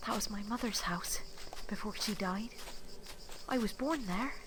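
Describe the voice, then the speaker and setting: An actress playing an earnest, passionate young dreamer in an audio drama. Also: female voice, mid-range voice, a little slow, lightly acted. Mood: wistful, quiet. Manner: breathless, earnest, intense. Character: starry-eyed, passionate young woman dreaming of fame. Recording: outdoor hiss with chirping insects.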